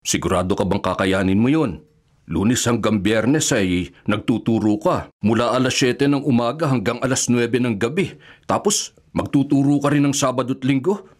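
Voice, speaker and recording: A man's voice: mid-range voice, expressive, moderate pace, natural voice. A man acting as an older bachelor brother on a pension in a Filipino radio drama, frank and sympathetic. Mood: worried.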